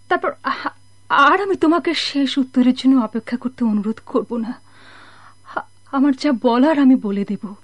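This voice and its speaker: Casting: anguished mother in radio melodrama, higher voice, slow, halting, breathy delivery. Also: dry recording. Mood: pleading, torn. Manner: halting, anguished, breathy pleading with repeated words. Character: sorrowful, torn mother waiting for a lost husband. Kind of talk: melodramatic radio drama acting.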